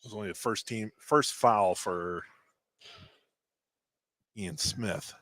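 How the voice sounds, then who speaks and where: A man's voice: on the low side, takes it slowly, a bit bright. A man giving color commentary and analysis on a live basketball radio broadcast. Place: basketball gym at a tournament.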